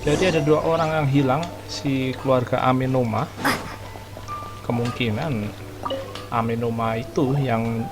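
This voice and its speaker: An Indonesian-accented man chats casually to his viewers as he plays. His medium-pitched voice drops in short informal comments over game audio and music. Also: steady tone, normal speed, pauses often. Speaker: game streamer.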